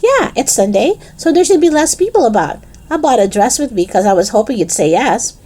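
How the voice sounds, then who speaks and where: A woman's voice: fairly high pitch, sing-song, a little fast, warm tone, voice pushed up. A woman voicing an eager, confident, playful schoolgirl in a storybook, with a coaxing, enthusiastic manner.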